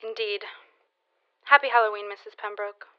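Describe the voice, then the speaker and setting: A woman's voice: mid-range voice, moderate pace, dull audio, background noise, lightly acted. An actress playing an anxious, earnest schoolgirl who speaks formally and urgently in a period audio drama, heard as on a telephone. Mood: grave, worried.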